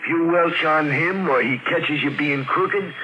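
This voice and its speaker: A man's voice: a little high, measured pace, close to an everyday voice. An actor playing a dim but loyal gangster's sidekick in an old-time radio drama, hesitant and simple in his talk. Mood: wary. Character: dim, loyal male sidekick, numbskull with numbers knack. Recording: muffled, noisy old radio recording.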